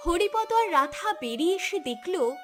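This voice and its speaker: A woman's voice: very high voice, very animated, unhurried, soft background music. A woman narrating a scripted cartoon story in a dramatic storytelling style.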